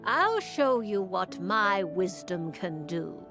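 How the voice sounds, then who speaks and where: A woman's voice: medium pitch, very animated, takes it slowly. A woman playing a haughty, grandiose witch seer in a video game, pompous and theatrical. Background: game music.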